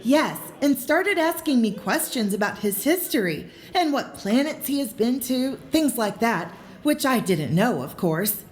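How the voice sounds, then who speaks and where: A woman playing a gentle, earnest young woman. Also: on the high side, big pitch swings, a little slow, few pauses. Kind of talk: audio drama acting.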